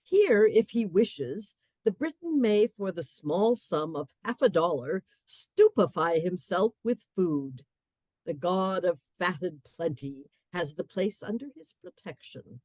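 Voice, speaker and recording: A woman playing a wry, urbane storyteller, narrating a comic radio play in a dry, measured, relishing way. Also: on the low side, animated, a little mellow, dry recording.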